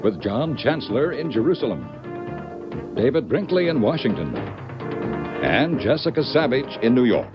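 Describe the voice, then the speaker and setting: News announcer man, extremely animated pitch. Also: unhurried, long pauses. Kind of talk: formal scripted news announcing. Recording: muffled archival broadcast, loud music.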